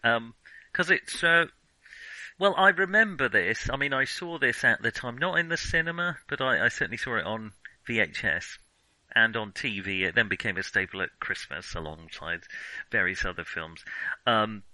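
A man's voice: medium pitch, expressive, moderate pace, sharp tone, no room sound, rough recording. A middle-aged man in casual discussion as a film podcast co-host, reflective and given to hedging his remarks.

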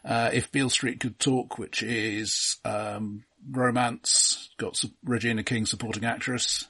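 A man with a slightly husky voice co-hosts a film discussion. He speaks in a measured, hesitant way, listing and weighing things with dry understatement. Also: mid-range voice, flat delivery, bright, forceful tone. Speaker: film podcast co-host.

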